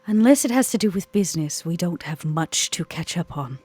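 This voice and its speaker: A woman's voice: on the low side, animated, brisk, darkish tone, slightly rough, dry recording. A woman voicing a cool, guarded female nightclub businesswoman in a tabletop roleplay, speaking in a formal, curt and pointed way. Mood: dismissive. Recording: music playing behind the voice.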